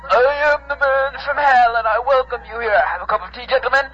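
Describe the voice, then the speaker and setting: A man's voice: very high voice, a little fast. A man voicing a menacing demon from hell in a homemade radio play, with a mock-sinister, theatrical delivery. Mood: mock-polite. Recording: lo-fi with loud music behind.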